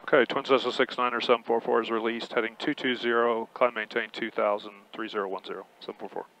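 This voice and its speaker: A man's voice: medium pitch, little pitch movement, fast talker, fairly bright tone. A man working as a pilot in a small plane cockpit, making clipped radio calls and checklist callouts over a headset. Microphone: headset intercom. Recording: dull noisy cockpit headset audio.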